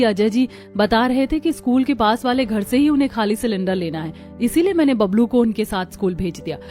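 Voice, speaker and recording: A woman voicing homely village women of one family in a cartoon story, with expressive talk. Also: a little high, natural voice. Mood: matter-of-fact. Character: village women of a family, daughters-in-law and mother-in-law. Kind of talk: Hindi cartoon story dialogue.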